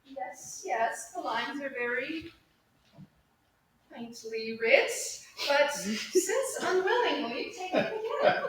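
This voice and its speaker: A woman playing a coy, teasing young noblewoman in a Shakespearean comedy, with arch pauses and pointed emphasis. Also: high voice, expressive, fairly bright tone, exaggerated voice. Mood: exasperated. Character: coy, teasing young noblewoman, easily exasperated with her suitor. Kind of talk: Shakespearean comedy staged reading. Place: large echoey indoor room, staged reading. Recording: very echoey hall, distant, faint noise.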